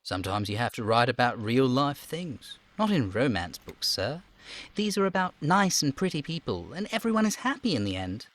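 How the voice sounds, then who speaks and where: A man's voice: medium pitch, very animated, normal speed, a bit bright, voice pushed up. A man voicing a polite, earnest young girl from a video game, innocent and deferential. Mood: thoughtful, innocent. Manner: deferential, innocent, matter-of-fact.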